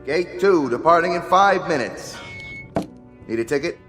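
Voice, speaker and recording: An actor playing a blunt, impatient bus station ticket clerk in a radio drama, with clipped phrasing. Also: male voice, fairly high pitch, expressive, unhurried, over music. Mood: announcing.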